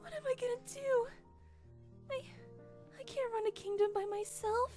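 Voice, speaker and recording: Casting: woman as gentle female cartoon pony, extremely high and soft, emotional, hesitant delivery, light music underneath. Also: normal speed. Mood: tearful, moved. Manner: tender, hesitant. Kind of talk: animated fan audio drama acting.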